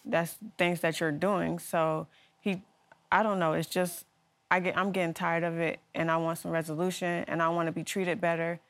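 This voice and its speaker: A woman giving her side of a dispute in court, earnest and aggrieved, sometimes hesitant. Her voice sits a little lower than most women's. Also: level tone, moderate pace. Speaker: litigant. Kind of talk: courtroom testimony.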